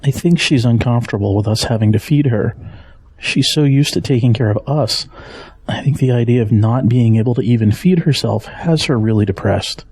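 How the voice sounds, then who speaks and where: An actor playing a gentle, worried middle-aged father in an audio drama, speaking in a measured, earnest way with a husky voice. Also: mid-range voice, no echo. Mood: worried, weary.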